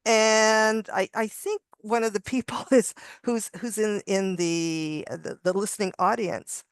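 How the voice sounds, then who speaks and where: Woman, panel author, conversational, restarts often, resonant clear voice, close dry sound. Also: medium pitch, fairly even intonation, a little slow, dry recording. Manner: rambling with many restarts and laughs. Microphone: video call.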